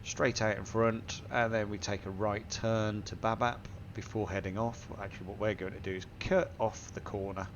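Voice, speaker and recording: Low gravelly guy with a cold, stuffy nose, reading off cockpit settings one by one, plane hum behind. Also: little pitch movement, moderate pace, hardly any pauses, highs cut off. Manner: methodical, talks through each setting aloud. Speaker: flight sim tutorial host. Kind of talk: step-by-step cockpit walkthrough. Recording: simulator engine and cabin noise behind. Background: aircraft simulator hum.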